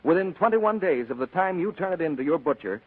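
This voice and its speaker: A man's voice: a little high, expressive, a little fast, no room sound. An American man announcing from a script in 1940s radio style. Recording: muffled old radio with faint music, audience.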